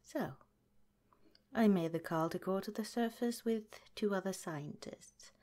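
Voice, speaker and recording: A woman voicing a calm, caring woman scientist director in an ASMR roleplay, speaking gently and politely in a soft, whispery way. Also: mid-range voice, measured pace, close to an everyday voice. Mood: gentle, reassuring.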